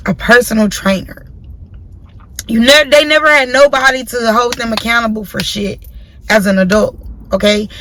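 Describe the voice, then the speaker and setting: A woman's voice: on the high side, background noise. Blunt, profane late-20s woman ranting in a car. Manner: blunt, profane, preachy, repeats points for emphasis. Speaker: podcast host. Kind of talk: casual opinion monologue.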